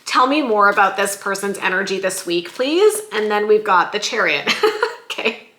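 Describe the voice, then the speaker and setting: A woman giving a weekly tarot love reading in a chatty, lively way, her voice going a little hoarse. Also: mid-range voice, normal speed, roomy sound. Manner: chatty, lively, says "like" and "you guys" often. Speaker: tarot reader.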